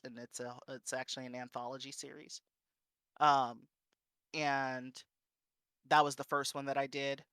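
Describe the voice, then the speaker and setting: A man giving an informal panel talk and answering questions in a conversational, halting, self-correcting way. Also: on the high side, sharp tone, no room sound. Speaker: panel speaker and streamer.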